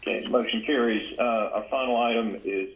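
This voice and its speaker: A man's voice: mid-range voice, fairly even intonation, normal speed, poor audio. A man presiding formally as chair over a board meeting held on a conference call. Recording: conference call audio stream.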